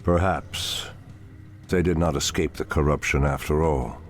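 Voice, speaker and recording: Very deep, ancient elf archdruid. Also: male voice, fairly even intonation. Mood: grave, measured. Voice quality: very deep, gravelly. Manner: slow, grave, archaic formal speech. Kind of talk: dramatic fantasy game acting. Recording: orchestral game music behind voice. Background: loud music.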